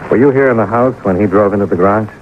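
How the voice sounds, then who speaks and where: Male radio-detective lead, acted: lowish voice, terse and businesslike, on a noisy, narrow-band 1950s broadcast recording. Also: rapid-fire, lightly acted. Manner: clipped, matter-of-fact questioning. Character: businesslike male insurance investigator, terse and persistent. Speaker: lead actor and narrator. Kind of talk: 1950s radio detective drama acting, first-person narration.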